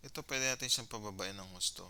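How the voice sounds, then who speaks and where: Filipino male gamer, low creaky voice, clean and crisp, casual thinking-aloud commentary in mixed Tagalog and English. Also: fast, pushed and bright. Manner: mixes Tagalog with English phrases. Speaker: gamer narrating his own gameplay.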